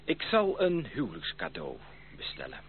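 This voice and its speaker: A man's voice: fairly low pitch, wildly swooping pitch, normal speed. An affectionate suitor in a period radio play. He coaxes earnestly with a very varied intonation. The sound is narrow and old, with slight hiss. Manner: coaxing, earnest. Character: persistent, affectionate male cousin courting a stubborn woman. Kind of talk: period radio drama acting.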